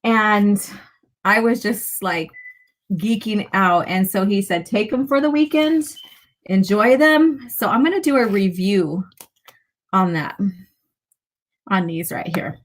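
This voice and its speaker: A woman hosts a casual livestream in a mid-pitched voice with a slight rasp. She chats loosely with her viewers, pausing and drifting into small asides as she goes. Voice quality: slightly raspy. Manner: chatty, greeting viewers by turns, with pauses and asides. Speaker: livestream host. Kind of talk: casual live chat to viewers.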